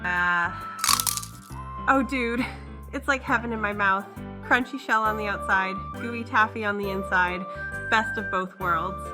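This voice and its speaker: A woman voicing a cocky, brash young monster-slayer kid in an animated comic dub. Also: medium pitch, slow pace, loud music behind the voice, natural voice. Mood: relishing. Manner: brash, boastful.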